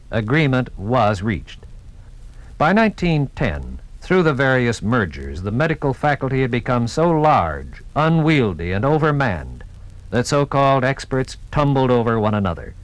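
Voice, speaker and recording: A man's voice: medium pitch, very animated, unhurried, no room sound, poor audio. Formal American male radio narrator. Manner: formal, dramatic announcer delivery. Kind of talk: 1950s radio documentary scripted narration. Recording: vintage 1950s radio recording.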